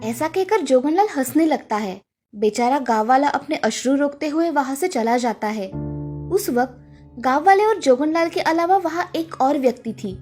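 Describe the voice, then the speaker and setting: A woman's voice: high voice, lively intonation, normal speed, darkish tone, faint music behind. A woman narrating a scripted moral tale as storyteller.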